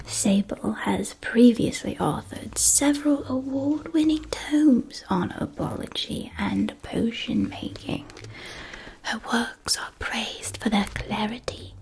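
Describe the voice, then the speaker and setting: A woman whispering softly and carefully in an ASMR roleplay, playing a gentle, doting witch shopkeeper with storybook phrasing. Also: mid-range voice, poor audio. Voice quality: breathy, unvoiced whisper.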